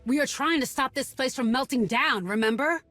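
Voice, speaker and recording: A woman's voice: sits high, normal speed, fairly bright tone, no room sound, soft background music. An actress playing a guarded, bitter young woman in a video game, blunt, sardonic and distrustful. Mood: suspicious, irritated. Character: guarded, bitter young local woman searching for missing sister. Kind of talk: video game cutscene acting.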